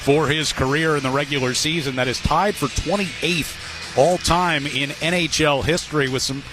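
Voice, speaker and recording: A man's voice: fairly high pitch, normal speed, over music. A man from the United States calling live hockey on the radio as play-by-play announcer, with a dry, wry sense of humour. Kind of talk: live sports commentary. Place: arena broadcast position.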